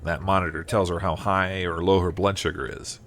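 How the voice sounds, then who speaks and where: A man's voice: deep voice, steady tone, normal speed, fairly bright tone, slight background noise. A man playing a cautious, plain-spoken farmer and family man in an audio drama, hesitant but direct. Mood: friendly.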